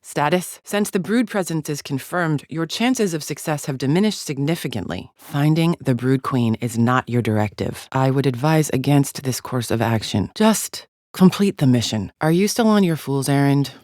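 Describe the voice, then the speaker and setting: A woman's voice: sits low, expressive, normal speed, few pauses, a little mellow, no room sound, clean studio sound. A woman playing a haughty, contemptuous female alien agent in a video game, speaking formally with clipped, disdainful precision. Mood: cool, condescending. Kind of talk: scripted video game character lines.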